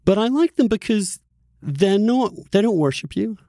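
A man's voice: sits high, lively intonation, moderate pace, warm tone, dry recording, studio quality. A man hosting a podcast, chatting casually and animatedly in a conversational interview. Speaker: podcast host.

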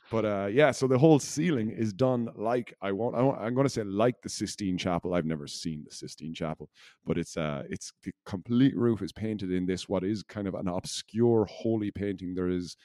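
A man's voice: low voice, a little mellow, no echo, clean studio sound. A man co-hosting a podcast in casual, unscripted chat, rambling along in a jokey, self-deprecating way. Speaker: podcast co-host.

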